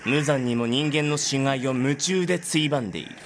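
A man's voice: medium pitch, a little fast, background noise. A man reading scripted narration for an audio drama.

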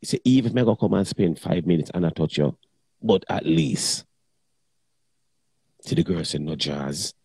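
A man's voice: low voice, expressive, normal speed, pauses often, darkish tone, slightly rough, no room sound. A middle-aged man, talking bluntly and crudely in patois in a casual chat.

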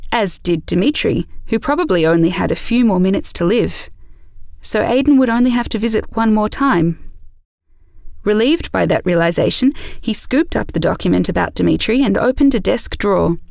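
A woman's voice: mid-range voice, creaky, no room sound, studio quality. A woman narrator reading a scripted audio drama story.

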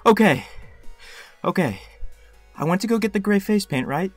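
An actor voicing a flustered, excitable young male fan in an animated comic dub, easily exasperated and prone to stammering. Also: medium pitch, normal speed, no room sound, faint music behind, close to an everyday voice. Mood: frantic. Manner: urgent, stammering.

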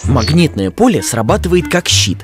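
Lively male narrator over music. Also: fairly high pitch, normal speed. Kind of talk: scripted educational narration for children. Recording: music under the voice.

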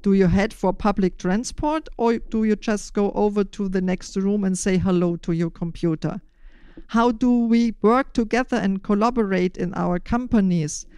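Older woman, podcast host, smooth clear voice, dry close sound, deliberate phrasing. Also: mid-range voice, mellow, no room sound. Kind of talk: prepared podcast explanation.

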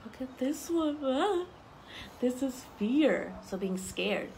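A young woman reading picture books aloud to children, expressive and explaining things simply as she goes. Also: sits high, slow pace, vocal fry, a little hiss or hum, poor audio. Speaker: story time reader for children. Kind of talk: children's picture book read-aloud with asides. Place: outdoors on a wooden deck among trees.

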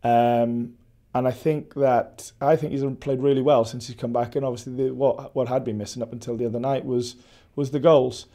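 A Welsh man in his forties, a football head coach, giving a measured post-match interview with many restarts and fillers. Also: mid-range voice, flat delivery, warm tone. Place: indoor press backdrop at a stadium.

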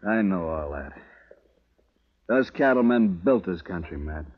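An actor playing a plain-spoken, wary frontier man with a husky voice in a 1950s radio western. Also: sits low, expressive, unhurried. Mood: weary, bitter. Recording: muffled old-time radio recording.

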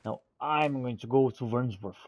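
A man streaming a game with casual in-character roleplay commentary, chatty and theatrical with a halting delivery. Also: mid-range voice, highs cut off.

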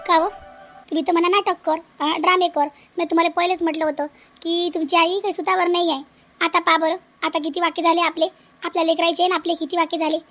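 A woman's voice: very high voice, little pitch movement, fast, a bit bright, faint music behind. A woman playing an aggrieved, outspoken young village daughter-in-law in a comedy cartoon, complaining in a Vidarbha Marathi accent. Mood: worried, reproachful. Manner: complaining, repetitive.

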